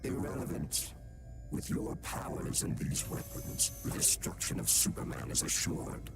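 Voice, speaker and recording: Game villain: imperious schemer, curt and cold, wildly varied intonation with fry, scored backdrop. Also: male voice, very high-pitched, huge pitch swings, unhurried, exaggerated voice. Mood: cold, tempting. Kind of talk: video game villain acting. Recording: music behind the voice.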